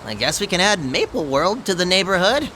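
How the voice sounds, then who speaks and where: Curious young game adventurer, highish voice, hugely swooping intonation, easygoing, noisy backdrop. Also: female voice, sing-song, brisk. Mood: curious, casual. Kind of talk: video game voice acting.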